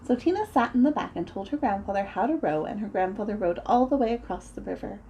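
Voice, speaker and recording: A woman reading a children's story aloud in an expressive, deliberate way, as a storyteller acting out the sound words. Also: mid-range voice, muffled recording, a little hiss or hum.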